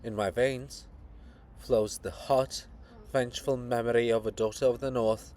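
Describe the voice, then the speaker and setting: A man's voice: medium pitch, fairly even intonation, unhurried, darkish tone, close to an everyday voice. A man voicing a proud, cold princess monument, guarded and grandiose, in a solemn, declamatory dramatic reading. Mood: proud, bitter.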